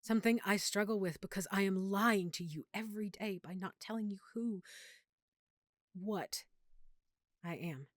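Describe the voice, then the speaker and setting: A woman playing a lovesick, guilt-ridden vampire girlfriend in an intimate audio roleplay, speaking tenderly and confidingly with dramatic pauses. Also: medium pitch, dry recording, clean studio sound, lightly acted. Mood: guilty, tender. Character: lovesick, guilt-ridden female vampire hiding her nature from boyfriend.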